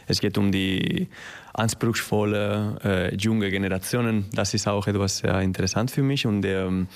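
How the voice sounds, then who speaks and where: A man from Spain with a Spanish accent, answering interview questions in halting German, full of fillers and restarts. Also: fairly low pitch, creaky. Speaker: guest, festival organizer.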